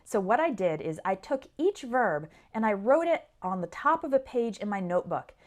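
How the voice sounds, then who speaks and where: A woman English teacher giving an instructional lesson, explaining things clearly and speaking directly to learners. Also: medium pitch, big pitch swings, moderate pace. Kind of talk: instructional lesson to camera or listeners.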